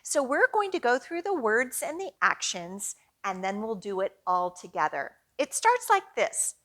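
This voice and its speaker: A woman's voice: a little high, sing-song, unhurried, fairly bright tone. A woman hosting a children's storytime, reading aloud and leading rhymes expressively as she addresses young children. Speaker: children's librarian storytime host.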